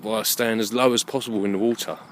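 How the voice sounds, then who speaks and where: A man's voice: on the low side, fairly even intonation, fast talker, fairly bright tone. A man giving a rambling, unscripted, opinionated monologue to camera as a video blogger, often repeating himself and swearing freely. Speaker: video blogger with his own channel. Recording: clear background noise, rough amateur recording.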